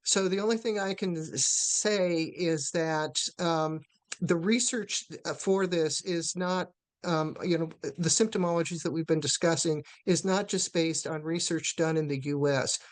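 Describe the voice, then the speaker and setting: A woman presenting a live webinar, answering questions haltingly with many fillers and restarts. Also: lowish voice.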